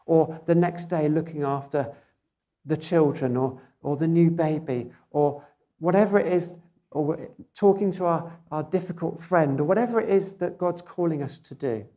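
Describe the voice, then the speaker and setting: A soft-spoken preacher delivers a sermon in a gentle, measured way, often turning to the congregation with rhetorical questions. The voice is quiet and not strong, with a mellow, warm tone. It is carried through a microphone and speaker system in a church. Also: medium pitch. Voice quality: quiet, relatively weak voice.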